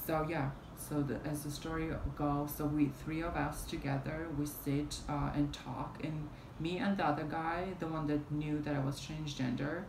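Young woman vlogger, low flat gravelly voice, fan humming in the background. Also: normal speed, hardly any pauses. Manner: rambling, many fillers and restarts. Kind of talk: casual storytime vlog. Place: small room at home, indoors, fan running. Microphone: camera in front at arm's length. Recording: roomy with fan noise behind. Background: fan hum.

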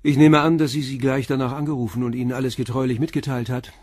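An actor playing a calm police inspector who questions a suspect with short, probing questions in a radio play. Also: male voice, mid-range voice, fast, dry recording, clean studio sound, lightly acted.

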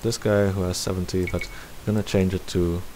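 Man, low-pitched voice, casual tutorial narration, thinking out loud, faint hiss. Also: moderate pace. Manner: thinking aloud step by step. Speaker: tutorial presenter. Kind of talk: casual screen-recorded game tutorial. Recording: steady hiss behind the voice.

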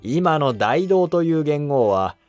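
An actor playing a humble, cautious monk who advises an emperor in formal, deferential court speech in a historical drama. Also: male voice, fairly high pitch, animated, takes it slowly, a little mellow, soft background music. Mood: calm, advising. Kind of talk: Japanese historical drama dialogue.

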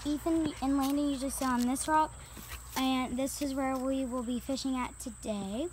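A woman's voice: high voice, fairly even intonation, moderate pace, a little mellow, slight background noise. A female vlogger chatting casually outdoors by a small creek into a handheld camera, rambling with lots of fillers. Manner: rambling, filler-heavy, upbeat. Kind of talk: casual vlog chat.

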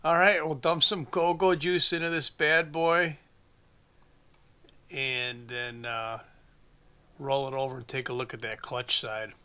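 Man, 40s, repair mechanic, crisp bright voice, dry humor, workshop bench. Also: medium pitch, level tone, measured pace, long pauses. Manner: plain-spoken, dry humor, thinks aloud while working. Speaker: small engine repair shop mechanic. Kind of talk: casual workshop repair narration.

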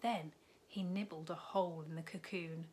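A woman's voice: on the low side, a little hiss or hum. A woman, a primary school teacher reading a picture book aloud to children from her living room. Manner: slow, expressive storytime reading with lively rises on key words. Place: living room at home, indoors.

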